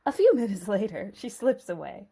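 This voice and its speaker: A woman's voice: medium pitch, big pitch swings, normal speed, gravelly, dark tone, breathy edge. A woman storyteller giving a dramatic scripted narration of a Bible story.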